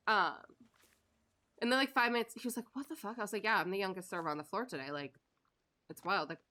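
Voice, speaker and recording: A woman's voice: mid-range voice, bright, forceful tone. A woman in her thirties, a streamer chatting casually while she plays. She tells stories in a loose, rambling way, laughs often and swears offhandedly. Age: 30s. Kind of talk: casual chat while gaming.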